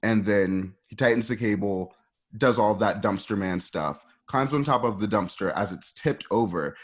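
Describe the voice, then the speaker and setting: A man's voice: lowish voice, moderate pace, slightly rough. A man informally narrating stage directions for a radio play in a conversational, ad-libbing way.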